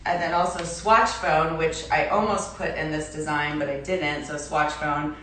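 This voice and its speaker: A woman from Belgium in her forties chatting casually on a livestream as an artist, with a halting manner and trailing restarts. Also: sits low, fairly even intonation, a bit bright, slight background noise. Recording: echoey room, distant mic, music behind.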